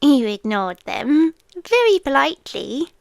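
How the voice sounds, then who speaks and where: British actress as ancient, possessively devoted female beast for ASMR roleplay: high, unhurried, whispery, intimate delivery. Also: big pitch swings, creaky, no echo, heavily acted. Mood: tender, wistful. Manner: slow, hushed delivery with frequent whispers and long pauses.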